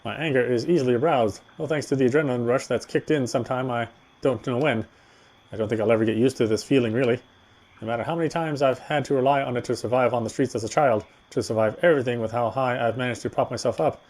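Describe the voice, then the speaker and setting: Male narrator for a horror visual novel; medium pitch, first-person, dramatic reading. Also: fast, a little mellow.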